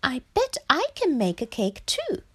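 Storybook frog, male, small and plaintive, performed by a woman. High, childlike, very animated delivery. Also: a little slow, no room sound, heavily acted. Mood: eager. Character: small eager male frog, easily downcast.

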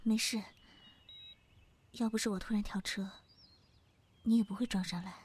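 A woman acting a gentle, anxious young single mother in a Chinese audio drama, with a wounded air. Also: mid-range voice, fairly even intonation, moderate pace, slightly rough, close to an everyday voice. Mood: subdued, polite.

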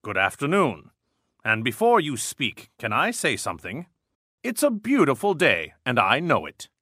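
A stern storybook gentleman, put on by a woman narrator in a very low voice. He is gruff and curt, with wide swings in pitch and a formal manner. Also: huge pitch swings, normal speed, no echo, studio quality, heavily acted. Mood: gruff, softening. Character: gruff, stern, solitary rich man. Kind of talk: storybook character dialogue.

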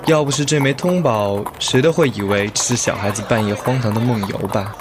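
An actor playing a gentle, sensible young gentleman in a Chinese audio drama, polite and a little long-suffering. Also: mid-range voice, a little fast, noisy background, natural voice. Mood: wry, reflective.

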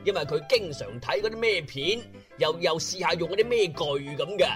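A woman's voice: fairly low pitch, expressive, moderate pace, soft background music. A woman with a Guangzhou Cantonese accent narrating a comic radio drama in slangy, mocking storyteller style, drawing words out for emphasis.

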